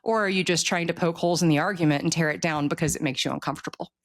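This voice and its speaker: A woman's voice: sits low, racing. A woman in her thirties talking casually in an interview, explaining earnestly and often posing rhetorical questions. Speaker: author being interviewed.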